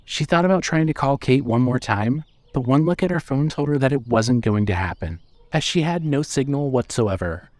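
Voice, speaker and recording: A man's voice: medium pitch, animated, moderate pace, a little mellow, no echo. A man narrating a scripted story as the narrator of an audio drama.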